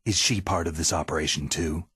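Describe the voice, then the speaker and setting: An actor playing a gruff, sardonic veteran special forces soldier in a video game, terse and dry, with a gravelly husky voice. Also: male voice, low voice, steady tone, brisk, bright, forceful tone, no room sound, exaggerated voice. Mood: grim, probing. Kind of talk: video game cutscene acting.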